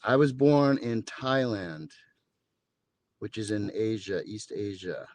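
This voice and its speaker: A man's voice: lowish voice, expressive, slow, a little mellow. A man in his fifties from Southern California, telling his story as a podcast guest with dry jokes and rambling asides. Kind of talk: casual interview talk.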